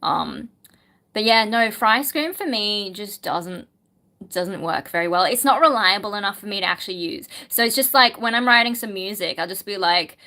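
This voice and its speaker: Bright-voiced woman chatting. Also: medium pitch, lively intonation, normal speed. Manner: chatty, musing, lots of ums, reads chat questions aloud. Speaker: singer and streamer answering chat. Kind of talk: casual livestream Q&A chat.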